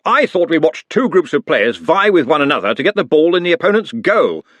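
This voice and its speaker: A man's voice: on the high side, sing-song, fairly quick, a bit bright, dry recording, dull audio, pitched above the performer's own voice. A man voicing an older, bossy wizard headmaster who speaks bluntly and self-assuredly. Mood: blustering.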